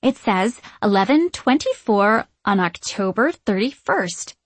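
A woman's voice: medium pitch, animated, unhurried, dry recording. A woman playing a childlike, giddy, curious witch in an audiobook, talking with airy, naive wonder. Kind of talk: audiobook character acting.

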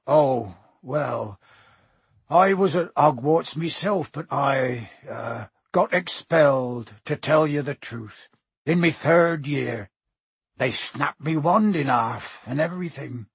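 Male narrator as gruff giant gamekeeper, very raspy, raised pitch, folksy, hearty, proud, storybook character. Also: takes it slowly, no room sound, exaggerated voice. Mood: sheepish, confiding. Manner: folksy, colloquial, dropped words.

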